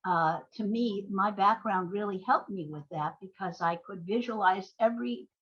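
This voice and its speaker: A woman's voice: mid-range voice, moderate pace, slightly rough, lo-fi sound. An older woman giving conversational interview answers, explaining things through anecdotes with emphatic agreement. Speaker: guest, playwright and screenwriter.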